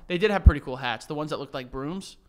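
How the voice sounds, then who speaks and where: A man in his thirties co-hosting a casual, comedic podcast with playful, animated banter, close on a studio mic. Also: fairly high pitch, quick pace, a little hiss or hum. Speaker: podcast co-host. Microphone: close desk-mounted studio microphone.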